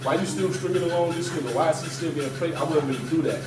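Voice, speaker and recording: A man's voice: on the high side, fast. A man being interviewed as a battle rapper, talking casually in slang and emphatically repeating his phrases. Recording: roomy, distant mic, music underneath.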